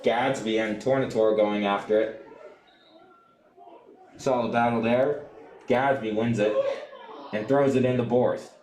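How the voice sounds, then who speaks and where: A young man works as a radio play-by-play sportscaster on a soccer match. His pitch sits in the middle for a man, and his calls are animated and descriptive. The sound is roomy and echoey, like a live broadcast. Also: measured pace, long pauses. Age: young adult.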